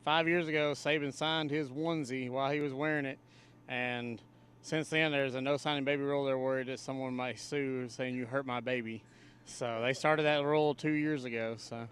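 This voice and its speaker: A man, a sports fan, giving halting, plain-spoken answers in a casual interview. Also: mid-range voice, steady tone, fairly bright tone, slight background noise.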